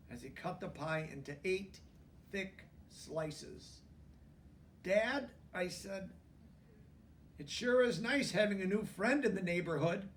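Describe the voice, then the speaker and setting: Male storybook reader, high-pitched and expressive with pauses for emphasis, recorded at a slight distance. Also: slight background noise. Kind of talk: children's book read-aloud with brief asides.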